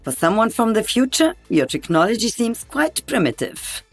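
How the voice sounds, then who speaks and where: A woman's voice: on the high side, sing-song, moderate pace, dry recording, faint music behind. A woman playing an older veteran sniper in a video game, wry and teasing. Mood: musing.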